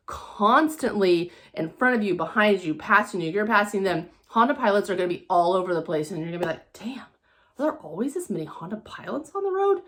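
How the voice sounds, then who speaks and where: A woman's voice: medium pitch, fairly bright tone. A woman giving a casual, conversational motivational talk as a vlogger, repeating phrases for emphasis.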